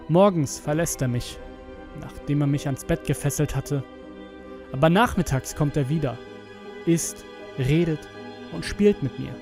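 Higher-voiced man narrating horror over music. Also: mellow. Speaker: narrator of horror audio stories. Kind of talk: dramatic scripted horror story narration. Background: music with strings.

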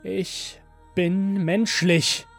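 A rebellious android played by a male narrator in a science-fiction story, speaking with bitter defiance. The voice sits fairly high with some gravel in it, over faint music. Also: little pitch movement, extremely slow, full character voice. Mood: cold, insistent.

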